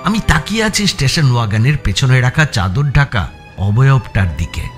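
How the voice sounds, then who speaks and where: A man's voice: medium pitch, sing-song, normal speed, faint music behind, natural voice. A man playing an anxious amateur sleuth, narrating his own suspense story in a dramatic, scripted style. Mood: rueful narration. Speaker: first-person narrator.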